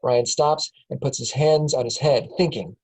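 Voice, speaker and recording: A woman reads a screenplay's stage directions aloud at a casual table read. Her tone is mellow and dark, and she keeps breaking into laughs as she reads. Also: rumbling low, moderate pace, slightly rough, poor audio. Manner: laughs often while reading. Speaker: narrator reading stage directions.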